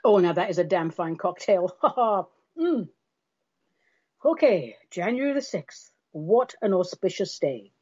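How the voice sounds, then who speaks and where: A woman's voice: on the low side, very animated, takes it slowly, dark tone, breathy edge, highs cut off. A woman from London with a London accent, hosting a podcast in a theatrical, sardonic monologue that calls her listeners darlings. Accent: London English. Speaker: podcast host.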